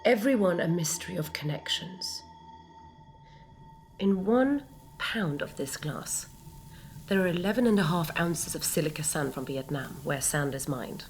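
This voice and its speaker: A woman playing a solemn, poetic philosopher heroine in a dramatic monologue, deliberate and hushed with portentous pauses. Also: lowish voice, lively intonation, faint music behind. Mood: solemn wonder. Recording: faint background noise and ambience.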